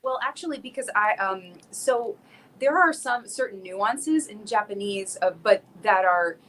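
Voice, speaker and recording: An American woman talks casually as a singer being interviewed, stopping and restarting her thoughts as she goes. Her voice sits at an ordinary middle pitch. The call carries a faint hiss of background noise. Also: animated, measured pace, fairly bright tone. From South Florida. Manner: chatty, laughs often, many fillers and restarts. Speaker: singer and guest. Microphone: video call.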